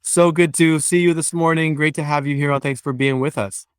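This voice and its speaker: A man's voice: fairly high pitch, fairly even intonation, moderate pace, clear, resonant tone, no echo, studio quality. A man hosting a virtual interview, friendly and fluent, with occasional hesitant restarts. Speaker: interview host.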